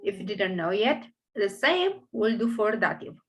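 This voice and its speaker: A woman's voice: medium pitch, sing-song, normal speed, slight background noise. A woman with a Romanian accent, a native Romanian speaker, teaching a grammar lesson in English, explaining step by step and thinking aloud with fillers. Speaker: language teacher.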